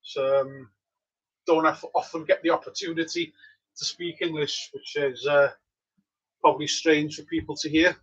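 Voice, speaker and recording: A man's voice: on the high side, normal speed, husky, highs cut off. A man with a Welsh accent, a native Welsh speaker, chatting casually with viewers while livestreaming his homebrew brewday. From Wales. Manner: relaxed, chatty, answers viewers. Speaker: homebrewer streaming live. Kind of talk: casual live-stream chat. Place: home brewery.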